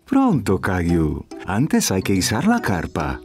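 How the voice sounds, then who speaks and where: A man voicing a patient, encouraging father in a dubbed children's cartoon, speaking gently. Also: sits low, wildly swooping pitch, slow pace, soft background music, full character voice. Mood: cheerful, patient.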